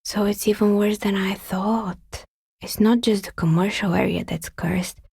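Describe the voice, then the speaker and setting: Casting: wry woman dicemaker for a game, creaky voice, politely skeptical, close dry sound. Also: mid-range voice, steady tone, a little mellow, no room sound, heavily acted. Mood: resigned, reflective. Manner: dry, sardonic, measured politeness. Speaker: video game character. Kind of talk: video game dialogue acting.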